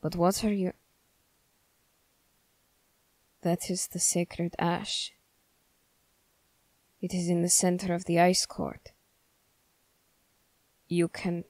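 A woman acting a low, slow, stern young soldier. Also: creaky, darkish tone, dry recording. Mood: puzzled, yielding. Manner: measured, halting, formal phrasing. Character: stern, wary young male soldier from a snowy northern land. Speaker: roleplay voice performer. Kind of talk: ASMR character roleplay addressed to the listener.

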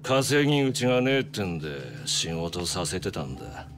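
A man voicing a menacing, weary middle-aged yakuza with a rough, coldly mocking drawl in a video game. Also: low-pitched, very animated, normal speed, over music. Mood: mock pity, menacing. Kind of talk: Japanese video game voice acting.

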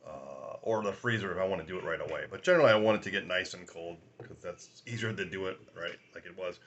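A man in his forties with a raspy voice from a sinus infection, rambling casually through a whiskey tasting vlog at home, filming on a phone at arm's length. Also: low-pitched, fast talker, fairly bright tone, highs cut off, slight background noise. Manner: rambling, chatty, laughs often, many fillers. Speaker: whiskey review host. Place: small room at home, indoors.

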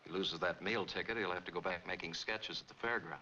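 A jealous, blunt American artist in a 1940s film. His voice is deep and bright, on a dull old soundtrack. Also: quick pace, slight background noise.

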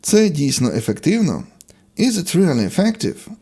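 A man's voice: a little high, sing-song, normal speed, warm tone, slightly rough. A man teaching a language lesson, reading out short, separate example sentences in an instructional way. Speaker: English teacher.

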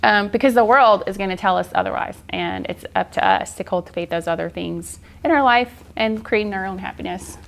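A woman's voice: medium pitch, big pitch swings, a little fast, creaky, few pauses. A woman in her forties giving an informal, encouraging art journaling tutorial in a casual style. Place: indoor art studio, seated at work table.

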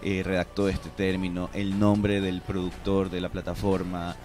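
A man's voice: deep voice, completely flat delivery, a little slow, a little mellow, soft background music. A man in his thirties explaining things with examples as an expert guest in a radio studio interview. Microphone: studio broadcast microphone.

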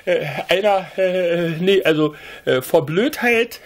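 A man's voice: sits high, slow. A man with a Berlin accent ranting in a rambling, conversational way, peppering his talk with chuckles, hesitations and tag questions. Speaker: critic.

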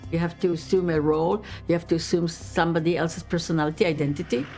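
German-native woman recalling, low-pitched. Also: fairly even intonation, quick pace, darkish tone, soft background music. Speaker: interviewee, former undercover agent. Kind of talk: documentary interview.

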